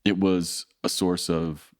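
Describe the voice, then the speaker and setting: A deep-voiced man hosts a relaxed conversation, his pitch sitting very low. He affirms his guest often and turns the guest's words into thoughtful follow-up questions. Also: slow pace. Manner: affirming, short "yeah" backchannels, reflective. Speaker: interview host. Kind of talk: casual interview questions.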